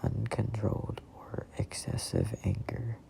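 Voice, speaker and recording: A person in their twenties reading a self-help book aloud in a breathy ASMR whisper, deliberately and with pauses between short phrases. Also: mid-range voice, wildly swooping pitch, mellow, low-quality recording. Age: 20s. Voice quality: whispery, breathy, gravelly creak. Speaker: amateur book reader.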